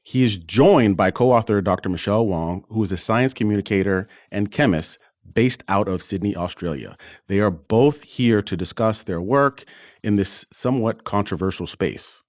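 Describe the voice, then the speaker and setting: A man's voice: lowish voice, normal speed, no room sound, studio quality. A man hosting a medical podcast, introducing and questioning guests in an interview style. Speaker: podcast host.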